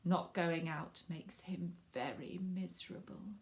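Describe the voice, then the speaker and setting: A woman's voice: lowish voice, steady tone, slow, gravelly. A rueful neighbour lady from a storybook, as a woman reader puts her on. She sighs her way through her troubles. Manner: sighing.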